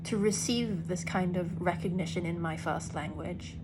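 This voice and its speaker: A woman actor speaking in an earnest, deliberate personal monologue with emphatic pauses. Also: fairly low pitch, noisy background. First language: English. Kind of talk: reflective personal vlog monologue.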